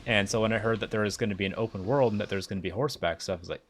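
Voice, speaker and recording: A young man with a mixed Southern and Midwestern American accent, chatting excitably and rambling in a self-mocking way while streaming a game. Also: on the low side, rapid-fire, slight background noise. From United States. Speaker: livestreamer. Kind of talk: casual live gameplay commentary.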